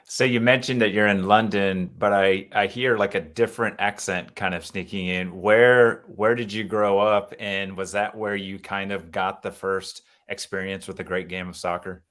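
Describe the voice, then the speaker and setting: A podcast host with a very deep voice asks loose, conversational questions. The tone stays easygoing and informal. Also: fairly even intonation, moderate pace, hardly any pauses, a bit bright. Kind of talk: podcast interview.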